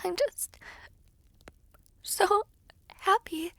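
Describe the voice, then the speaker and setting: A woman's voice: very high voice, drawn-out words, vocal fry, a bit bright, no echo, full character voice. A woman playing an overjoyed, grateful young bride who is crying, in an audio roleplay drama. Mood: tearful joy.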